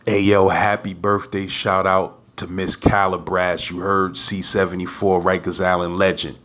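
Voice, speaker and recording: A man reading channel shout-outs and video rankings in a laid-back way. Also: sits low, flat delivery, unhurried.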